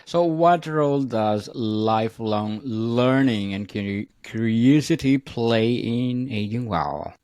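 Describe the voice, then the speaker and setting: A male podcast host reads his prepared questions slowly and deliberately. Between them he gives brief words of agreement. The recording is close and dry, with no room sound. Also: mid-range voice, darkish tone, dry recording. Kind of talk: interview questions.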